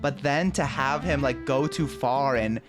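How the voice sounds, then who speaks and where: Slow-talking streamer musing over game music. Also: medium pitch. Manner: informal, slangy, musing aloud. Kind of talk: casual playthrough commentary.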